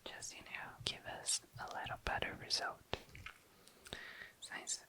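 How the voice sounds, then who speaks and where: An ASMR host speaking in a breathy, unvoiced whisper, softly commenting on a craft and soothingly repeating words. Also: a little slow. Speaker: ASMR video creator, host. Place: indoors at a desk.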